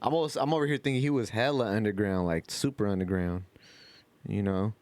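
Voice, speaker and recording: A man's voice: on the low side, steady tone, brisk, darkish tone, dry recording. A man co-hosting a podcast, talking casually with lots of slang and swearing. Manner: slangy, profane. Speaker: podcast co-host. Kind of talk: casual chat.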